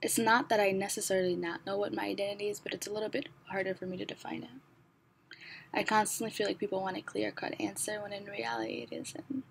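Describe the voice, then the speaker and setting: A young woman from Somalia raised in the United States, with an American accent, reading her own story in a reflective, even voice with ironic asides, soft and partly whispered. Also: mid-range voice, fairly quick, vocal fry, a little hiss or hum. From born in Somalia, raised in the United States. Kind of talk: read personal narration.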